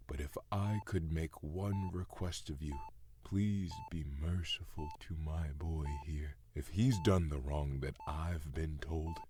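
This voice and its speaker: Guy playing old dad in prison, real deep slow voice, grief stricken and begging, audio drama style. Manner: halting, pleading, anguished. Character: grief-broken old imprisoned father begging to die.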